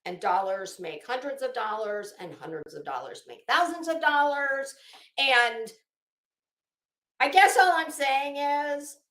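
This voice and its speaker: Woman with a high voice doing a blunt sweary rant, slow and halting, kinda echoey room. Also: lively intonation, fairly bright tone. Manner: blunt, profane, emphatic, halting with drawn-out words. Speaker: online commentary host. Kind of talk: casual rant commentary addressed to viewers. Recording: roomy echo.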